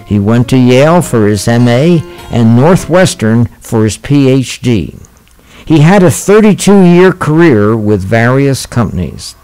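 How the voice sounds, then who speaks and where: A man's voice: medium pitch, very animated, unhurried, darkish tone, clean tone, rough recording. A man giving a scripted announcement as host of a radio theatre programme. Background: faint music.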